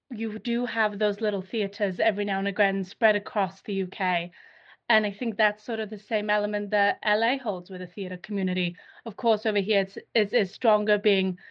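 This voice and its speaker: A woman in her twenties with a Welsh accent, a guest actress chatting earnestly in an interview and thinking aloud with fillers. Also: mid-range voice. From North Wales. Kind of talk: casual interview chat. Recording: slightly dull lo-fi audio.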